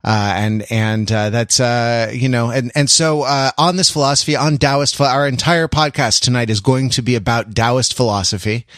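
Male podcast host, slightly low voice, dry close sound; mock-earnest, wordy, stumbles into restarts, playful panel banter. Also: steady tone, normal speed, few pauses, a bit bright, no echo. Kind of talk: casual comedic panel chat.

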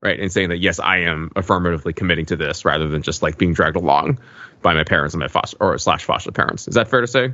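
A man's voice: low voice, fast talker, pushed and bright, no room sound, lo-fi sound. A man co-hosting a podcast in casual discussion, tentative and often checking that others agree. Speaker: podcast host.

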